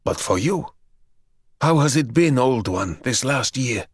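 A man voicing an ancient buried male vampire who speaks in slow, mocking, archaic phrases. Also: medium pitch, animated, breathy edge, dry recording, studio quality. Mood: brooding. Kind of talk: audiobook character voice.

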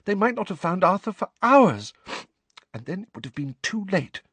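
A man voicing a warm, emotional middle-aged mother, lifting his voice high and gushing. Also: big pitch swings, moderate pace, slightly rough, no room sound, exaggerated voice. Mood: tearful grateful.

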